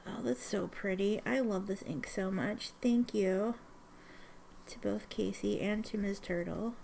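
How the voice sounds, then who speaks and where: Rambling sniffly woman with a gravelly edge. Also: mid-range voice, a little slow, a little mellow, a little hiss or hum, rough recording. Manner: rambling, self-correcting, with many ums. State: sniffly from allergies. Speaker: ink swatching vlogger. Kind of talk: casual chatty vlog.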